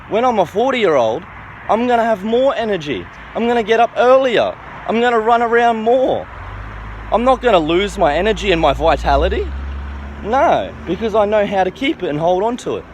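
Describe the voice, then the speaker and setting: High-pitched, emphatic man around thirty. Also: a little hiss or hum. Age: 29, turning thirty. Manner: emphatic, self-assured, repetitive, seeking agreement with "okay" and "right". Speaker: self-styled health and philosophy educator. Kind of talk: informal opinion monologue.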